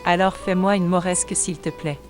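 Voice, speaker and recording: A woman's voice: on the low side, steady tone, normal speed, close to an everyday voice. A woman playing a tough, familiar-talking Resistance member in a wartime audio drama. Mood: familiar, cheeky. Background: music behind the voice.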